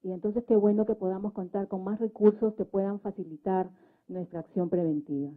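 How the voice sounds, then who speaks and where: A woman's voice: medium pitch, steady tone, fairly quick. A woman with a Peruvian accent speaking at a public debate, explaining methodically and often adding tag questions. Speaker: government social communicator, panel speaker. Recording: thin phone-like sound.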